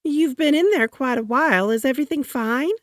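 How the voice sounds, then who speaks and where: Woman putting on a high, lilting genteel wife. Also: brisk, no echo, studio quality, lightly acted. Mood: sweetly concerned. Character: genteel, patient First Lady, wife of the president.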